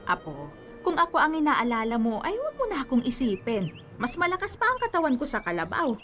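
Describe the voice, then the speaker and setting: A woman's voice: high-pitched, wildly swooping pitch, brisk, fairly bright tone, exaggerated voice. A woman playing a sprightly, teasing, fussing Filipino grandmother in a radio drama. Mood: teasing, insistent. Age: older. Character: teasing, sprightly grandmother eager to see her granddaughter married. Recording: music bed under the voice.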